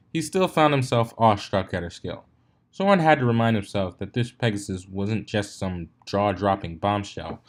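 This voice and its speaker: A man reading fan fiction aloud as a storybook narrator, in an amateur way with occasional stumbles and retries. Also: lowish voice.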